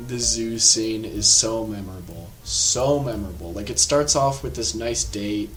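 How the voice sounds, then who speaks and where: A man giving a casual, rambling film review, full of filler words and quick asides, over faint background music. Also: mid-range voice. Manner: animated, rambling, lots of filler words and laughs.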